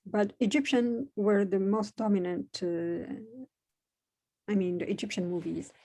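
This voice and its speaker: A Moroccan woman, a native Moroccan Arabic speaker, talks in English with a soft, warm tone and a mid-range pitch. She pauses often and fills gaps with "uh" as she searches for her words. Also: steady tone. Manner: hesitant, many pauses and "uh" fillers. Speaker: interviewee. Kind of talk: conversational interview.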